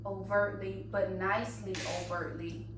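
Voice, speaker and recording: A woman's voice: mid-range voice, little pitch movement, unhurried. A woman of thirty co-hosting a casual podcast chat, blunt and teasing. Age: 30s. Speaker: podcast co-host. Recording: very echoey room, distant mic, hum.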